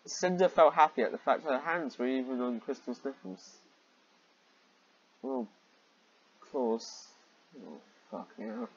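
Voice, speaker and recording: A man's voice: medium pitch, slow, long pauses, breathy edge, muffled recording. A man reading amateur fiction aloud with sarcastic commentary and exasperated, incredulous asides.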